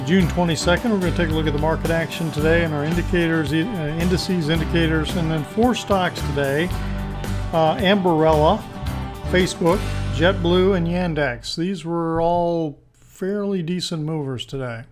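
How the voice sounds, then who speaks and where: A man with a fairly high, slightly hoarse voice talks through stock charts as the presenter. His delivery is informal and tentative, and he works out his thoughts aloud while commenting. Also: normal speed, music in the background. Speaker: stock market video presenter. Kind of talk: screen-share market analysis commentary.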